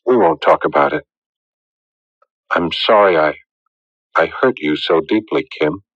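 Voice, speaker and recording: An actor playing an earnest, conflicted fiancé in a radio drama, speaking gravely and haltingly. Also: male voice, very deep voice, a bit bright, dry recording, full character voice. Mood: tender. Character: earnest, conflicted fiancé named Stan, gentle and solemn. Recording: dull lo-fi old radio recording.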